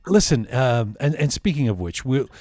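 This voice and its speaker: A man's voice: mid-range voice, very animated, moderate pace, darkish tone, no echo, studio quality. A man hosting a podcast, reading a scripted introduction and then asking playful, teasing follow-up questions. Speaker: podcast host.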